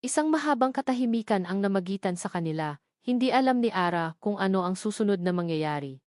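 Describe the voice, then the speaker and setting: A woman's voice: mid-range voice, expressive, brisk, darkish tone, no echo, studio quality. A woman narrating a scripted dramatic story.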